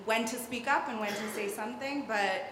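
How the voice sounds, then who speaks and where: A woman's voice: on the high side, normal speed. Rambling young woman in a reverberant hall. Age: young adult. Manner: rambling, hedging with "sort of" and "like". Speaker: audience member. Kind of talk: audience comment at a panel. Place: large hall at a public event. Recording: echoey hall, faint room noise.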